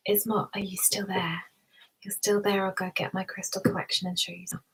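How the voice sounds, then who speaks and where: A woman hosting an ASMR live stream, chatting to listeners in a hushed, soft voice that often drops into a whisper. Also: medium pitch, level tone, a little fast, breathy edge, low-quality recording. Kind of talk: ASMR, soft whispering and soft talk with mouth sounds.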